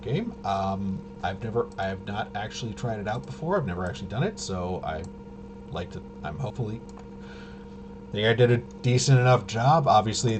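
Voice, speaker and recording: A man with a fairly low voice talks casually to his livestream. His delivery is unscripted and halting, wandering through half-finished sentences. A clear noisy hum sits behind his voice throughout. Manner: halting, rambling, often trailing off mid-sentence. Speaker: livestream host. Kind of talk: casual unscripted stream commentary.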